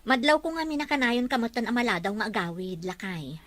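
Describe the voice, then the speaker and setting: A high, bright-voiced young wife and mother in a family melodrama, played by an actress. She is patient but troubled, and she questions those around her in earnest dialogue. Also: brisk, no echo, close to an everyday voice. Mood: questioning, suspicious. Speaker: actor.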